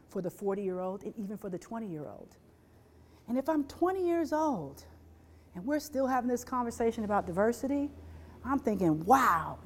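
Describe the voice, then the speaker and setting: A woman giving a presentation to an audience, rhetorical and repetitive, asking listeners questions and briefly voicing quoted people. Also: on the high side. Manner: rhetorical, repeats phrases, asks the audience questions; voices quoted people with lively shifts. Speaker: speaker on workplace diversity.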